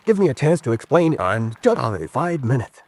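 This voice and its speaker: An actor playing a desperate, hunted American spy in a radio drama, pleading with urgent intensity. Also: male voice, mid-range voice, very animated, fairly quick, darkish tone, no room sound.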